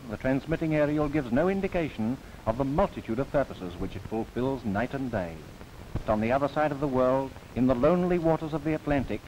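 Male narrator for a 1930s government film, formal and measured, medium pitch, faint hiss. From New Zealand. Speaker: documentary narrator. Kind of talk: scripted narration, 1930s newsreel. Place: studio voice-over.

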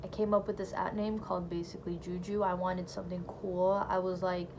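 A woman's voice: on the low side, level tone, fairly quick, noisy background. Chatty young woman telling her story. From Brazilian family. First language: Portuguese. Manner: chatty, lots of "like" and "you know", laughs lightly. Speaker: vlogger sharing her faith testimony. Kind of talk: casual personal storytelling to camera. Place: small bedroom, indoors. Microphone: phone or camera close in front.

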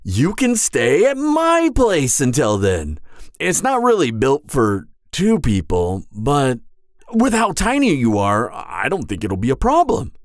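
A man plays a clumsy, kindhearted giant in an audio roleplay. His pitch swoops hugely as he rambles, hesitates and apologizes. The sound is dry and close, with no room around it. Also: unhurried, dry recording. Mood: eager, reassuring. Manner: flustered, hesitant, rambling, animated. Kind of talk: roleplay audio drama monologue.

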